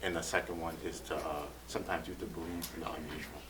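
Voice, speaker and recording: Very deep-voiced young man, distant. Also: level tone, quick pace. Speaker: panelist filmmaker. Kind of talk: casual panel answer. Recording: distant mic, loud noise.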